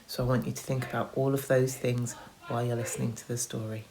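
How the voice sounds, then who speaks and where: A woman teacher reading a children's story aloud in an expressive, encouraging manner, talking directly to young listeners. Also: very deep voice, fast, slight background noise, low-quality recording. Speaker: primary school teacher.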